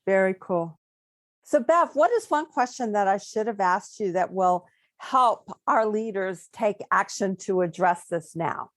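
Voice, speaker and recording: A woman's voice: mid-range voice, expressive, a little slow, no room sound, clean studio sound. A woman hosting a podcast interview, asking questions and offering encouraging affirmations. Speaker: podcast host.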